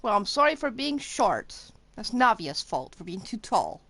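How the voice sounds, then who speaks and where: A woman's voice: mid-range voice, big pitch swings, normal speed, creaky, fairly bright tone. A woman live-streaming a game, giving casual, wry and sarcastic commentary.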